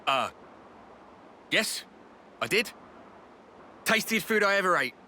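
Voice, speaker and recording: A man with a British accent voicing a colloquial, grousing low-ranking soldier in a video game. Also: sing-song, slow, sharp tone, a little hiss or hum, heavily acted. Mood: flustered. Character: grumbling, low-ranking male soldier, nostalgic and deferential. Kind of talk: scripted video game voice acting.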